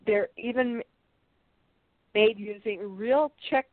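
A woman's voice: on the high side, no room sound. Stuffed-up woman on a tinny line. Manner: chatty, halting restarts, light laughs. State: stuffed up nose. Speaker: co-host reviewing products. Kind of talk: casual unboxing chat, reading package text aloud. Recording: tinny phone-like line, dry.

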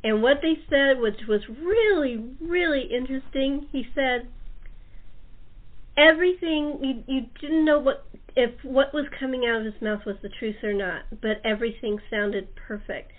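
High-voiced woman, tinny phone-like sound. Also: normal speed. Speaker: talk show host. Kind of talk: casual interview chat. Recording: tinny narrow phone-like line.

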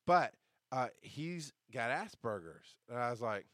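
A man hosting a podcast, telling a story in casual, profane, animated fashion and acting out what people said, with a deep, bass-heavy voice. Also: a little slow, no echo, clean studio sound. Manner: animated, profane, acts out quoted lines. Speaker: podcast host.

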